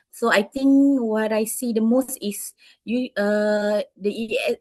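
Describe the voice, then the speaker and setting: A woman's voice: mid-range voice, no echo. Malaysian-accented woman, 30s, teacher being interviewed, dry close sound, hesitant. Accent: Malaysian English. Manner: hesitant, many fillers and restarts. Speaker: English teacher interviewed by students. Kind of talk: answering interview questions.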